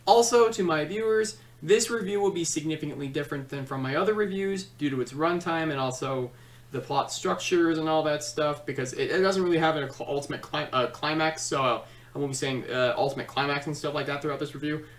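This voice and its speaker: A man hosting a comedic video review, switching between theatrical announcing and halting casual asides. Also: fairly high pitch, recorded from a distance. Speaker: online film reviewer and host.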